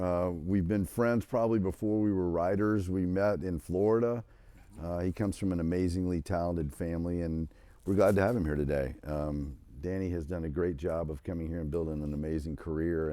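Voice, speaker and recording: A man's voice: deep voice, dark tone, no room sound. A man hosting a casual interview chat, speaking in an encouraging, affirming way. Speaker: show host.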